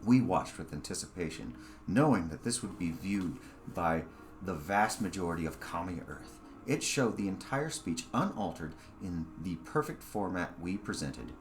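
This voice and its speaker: A man's voice: sits low, lively intonation, moderate pace, faint music behind. A man reading his own short story aloud as scripted narration.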